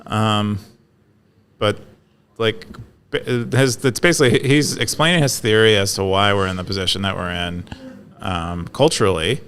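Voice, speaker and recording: A man with a fairly low, smooth and resonant voice talks through an idea in a loose, casual way. He hesitates often and restarts his sentences as he explains. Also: fairly even intonation, normal speed, fairly bright tone. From California. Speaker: podcast co-host. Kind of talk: casual conversational discussion.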